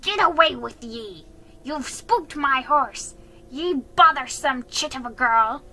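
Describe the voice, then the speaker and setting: A woman voicing an angry, bullying man from a storybook, shouting threats in old-fashioned rustic wording. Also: sits high, expressive, takes it slowly, pitched above the performer's own voice, heavily acted. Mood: furious. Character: angry bullying man, rough horse owner with a whip. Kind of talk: storybook character voice.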